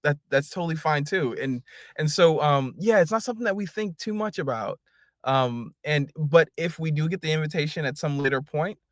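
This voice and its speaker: A man, a singer, guesting on a podcast, chatting warmly and gratefully and laughing often. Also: on the high side, brisk, dry recording, clean studio sound. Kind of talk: casual interview chat.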